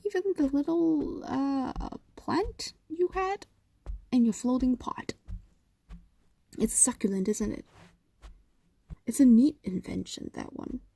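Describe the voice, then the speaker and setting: A woman voicing a possessive, doting female fox demon in an intimate roleplay audio drama, coaxing and teasing in a soft, breathy voice that drifts into whispers. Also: sits high, slow pace, long pauses, exaggerated voice. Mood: doting, gentle.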